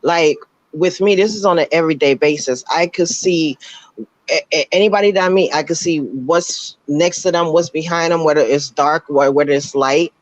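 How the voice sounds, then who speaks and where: A woman calling into a live show, her voice raspy from just waking up, talking earnestly with halting restarts. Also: low voice, no echo. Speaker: caller. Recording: dull, dry call-in line.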